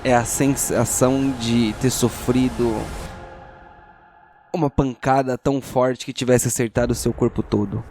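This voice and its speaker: A man's voice: medium pitch, steady tone, unhurried, music in the background. A man with a Brazilian accent, narrating a tabletop role-playing audio drama as game master.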